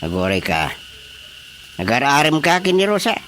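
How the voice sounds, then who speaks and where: Older Ilocano father, sly and bossy; highish, swooping voice for radio comedy. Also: wildly swooping pitch, normal speed, fairly bright tone, slight background noise. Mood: sly, probing. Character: scheming, bossy older village father testing a suitor. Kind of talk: Filipino radio drama comedy acting.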